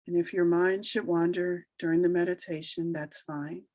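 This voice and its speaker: A woman leading a guided meditation, giving soothing, unhurried instructions with long pauses between phrases. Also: lowish voice, little pitch movement, darkish tone, no room sound. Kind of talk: slow guided meditation narration.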